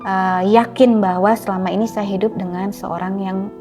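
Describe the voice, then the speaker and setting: A middle-aged woman speaking earnestly and deliberately as an interview guest, explaining her personal experience. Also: medium pitch, steady tone, over music. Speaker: author.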